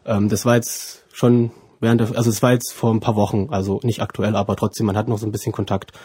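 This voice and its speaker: Husky young man, hesitant speaker. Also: fairly low pitch, little pitch movement, quick pace, mellow, no room sound. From Ukraine, then Germany from about age seven. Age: 20s. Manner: hesitant, searching for words, self-correcting. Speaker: interview guest, student. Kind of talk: informal personal interview answers.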